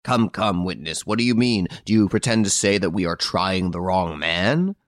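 Courtroom judge played by a male reader: impatient, nonplussed, demanding, low-pitched, storybook dialogue. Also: lively intonation, normal speed, dry recording, studio quality. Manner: exclaiming, demanding, interrogating.

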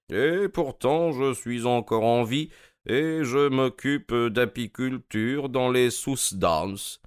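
Un homme qui joue, pour un livre audio, un détective anglais d'âge mûr, suave, courtois et moqueur. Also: male voice, medium pitch, smooth, no room sound, clean studio sound, voice pushed up, natural voice. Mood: wry, nostalgic. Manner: amused, courteous, drawn-out mocking delivery. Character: suave, wry older English detective, gloating politely.

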